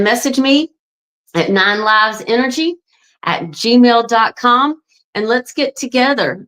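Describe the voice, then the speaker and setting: A woman's voice: fairly high pitch, a bit bright, rough recording. A woman in her forties talking casually and earnestly to viewers, with frequent ums and "right?" tags, promoting her astrology readings. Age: 40s. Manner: earnest, chatty, addresses viewers as folks. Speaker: astrology and wellness coach. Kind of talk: casual direct-to-camera promo talk.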